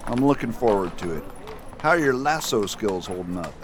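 A man's voice: lowish voice, expressive, moderate pace, a little hiss or hum, close to an everyday voice. A man playing a friendly, easygoing US marshal in an audio drama. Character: steady adult male US marshal, friendly. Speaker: US marshal Richard Wade. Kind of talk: radio drama acting.

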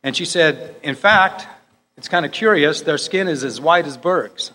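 A man's voice: fairly high pitch, measured pace. Male speaker at a public talk, recounting reports step by step, long hall reverb. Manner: animated, retelling news reports step by step. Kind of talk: lecture to an audience.

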